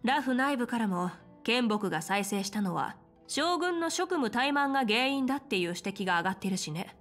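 An actress playing a confident female military general in a fantasy game, speaking in an assertive, measured and frank manner. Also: on the high side, expressive, fairly bright tone, soft background music. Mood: firm, serious. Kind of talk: dramatic Japanese game voice acting.

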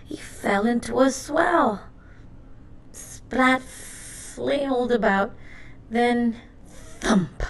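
A woman reading a children's storybook aloud in an expressive, deliberate narrating style. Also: on the high side, vocal fry. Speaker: storybook reader.

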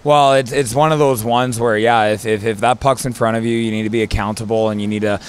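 A man's voice: mid-range voice, fairly even intonation, fast, smooth. A young man, an assistant coach, answering postgame questions beside a hockey rink, peppering his talk with fillers and "right?". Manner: filler-heavy, repeats words, says "right?". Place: indoor hockey arena rinkside. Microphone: handheld mic held by interviewer.

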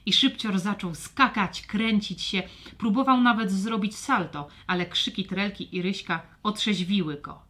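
Woman telling a kids story, medium pitch, dramatic n expressive delivery. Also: a little slow, few pauses. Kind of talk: scripted children's story narration.

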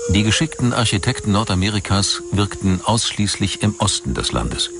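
Deep-voiced male documentary narrator. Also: very animated, moderate pace, gravelly, background noise.

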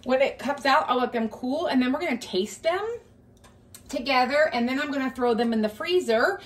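A woman's voice: high-pitched, moderate pace. A woman giving a casual cook-along demonstration in her home kitchen, chattily talking viewers through each step. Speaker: home cooking vlogger. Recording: clear kitchen noise, clinking dishes.

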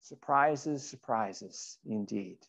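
A middle-aged man, a pastor, giving a sermon in an earnest, deliberate way with rhetorical questions and repetition. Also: fairly low pitch, vocal fry, a bit bright. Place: indoors in a church sanctuary.

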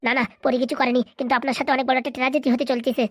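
A woman's voice: high voice, little pitch movement, dry recording, clean studio sound. A woman voicing an urgent, protective young man in a cartoon. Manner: urgent, hurried. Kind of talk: cartoon voice acting.

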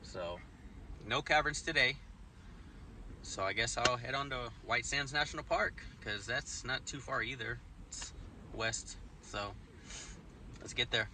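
A man's voice: medium pitch, unhurried, long pauses. A man in his twenties vlogging casually to a phone camera at arm's length, chatty and laid-back with loose fillers. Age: 20s. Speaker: road trip vlogger. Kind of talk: casual vlog talk to camera. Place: inside a pickup truck and a motel room. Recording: distant mic with road noise.

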